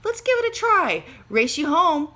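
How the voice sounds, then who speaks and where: A woman reading a storybook aloud, voicing an eager, bossy young girl in an expressive, urging way. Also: extremely high pitch, moderate pace, slight background noise, pitched above the performer's own voice, full character voice. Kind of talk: children's storybook read-aloud.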